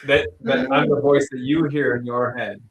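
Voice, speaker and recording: Relaxed man with dry humor, a singer. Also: medium pitch, normal speed, a little hiss or hum, low-quality recording. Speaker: singer on the album. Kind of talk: casual chat.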